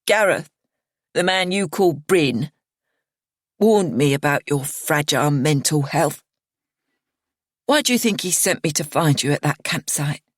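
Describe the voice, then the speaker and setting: A woman reading an audiobook, voicing a bitter, grieving young woman with a slow, accusing drawl. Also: low-pitched, lively intonation, dry recording, clean studio sound.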